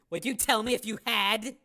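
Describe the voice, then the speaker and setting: A woman's voice: on the high side, pushed and bright. A woman playing a frantic, tearful mother. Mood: distraught. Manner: anxious, halting, tearful. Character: distraught estranged mother, frantic, defensive. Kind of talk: dramatized audiobook acting.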